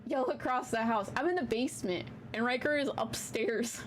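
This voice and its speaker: A woman hosting a tarot live stream from her basement, talking in a chatty, slangy way with a small, soft voice and some casual swearing. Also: a little high, moderate pace, a little hiss or hum. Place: home basement.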